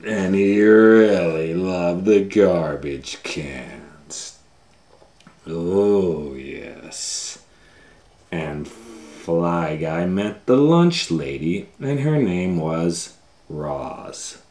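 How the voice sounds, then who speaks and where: A man reading a children's storybook aloud as host, with drawn-out emphatic phrasing and little asides. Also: low voice, mellow. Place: indoor room, reading a book on a table. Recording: roomy, echoey indoor sound.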